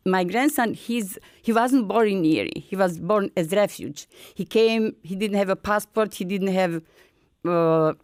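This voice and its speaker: Slow, earnest older Bosnian woman. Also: medium pitch, no echo, studio quality. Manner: halting, broken English with restarts; earnest, encouraging. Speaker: featured artist. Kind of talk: personal storytelling.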